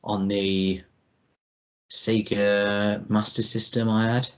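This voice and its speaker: Man, thirties, lower-pitched voice, podcast co-host, loose conversational rambling, muffled lo-fi recording. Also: level tone, takes it slowly, fairly bright tone, clear, resonant tone. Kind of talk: casual chat. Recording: dull lo-fi podcast audio.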